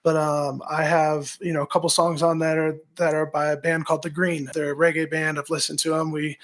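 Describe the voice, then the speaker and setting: A man with a raspy voice, a musician guest talking in an energetic, pep-talk way and often tagging on "right?". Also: on the high side, brisk. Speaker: musician guest, former baseball pitcher. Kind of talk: casual interview talk.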